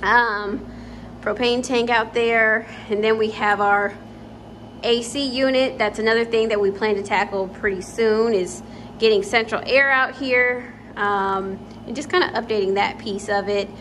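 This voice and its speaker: A young woman with a slightly high voice talks slowly and casually, dropping frequent ums as she walks through empty rooms. She is recorded on a handheld phone, with a faint ambient hum behind her. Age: young adult. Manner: relaxed, chatty, with frequent ums. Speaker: home vlogger. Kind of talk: casual house tour vlog. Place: empty house, walking room to room. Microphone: phone camera held while walking.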